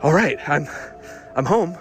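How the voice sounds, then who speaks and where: Man playing a high-voiced, obsessive, excitable car fanatic. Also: soft background music. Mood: restless, eager. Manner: halting, stammering, breathlessly eager. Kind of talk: audio diary monologue, rambling.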